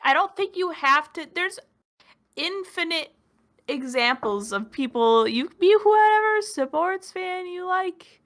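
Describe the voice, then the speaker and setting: Bright-voiced young woman rambling casually. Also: very high-pitched, expressive, measured pace. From Minnesota. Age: 20s. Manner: rambling, lots of filler words, self-deprecating. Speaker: podcast co-host. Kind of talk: casual chat.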